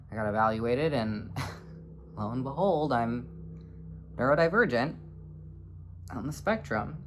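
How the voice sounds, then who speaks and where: A young adult in their twenties speaks in a low voice at an ordinary, mid-range pitch. They talk earnestly and haltingly, pausing to search for words as they reflect aloud. A faint hiss sits beneath the voice. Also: fairly bright tone. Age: 20s. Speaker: vlogger. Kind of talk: personal reflective monologue.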